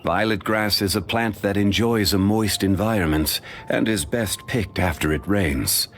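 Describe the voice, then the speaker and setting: An actor voicing a dignified, ancient, learned man in a video game, speaking in a measured, formal and courteous way. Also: lowish voice, slight background noise, close to an everyday voice. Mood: calm, formal.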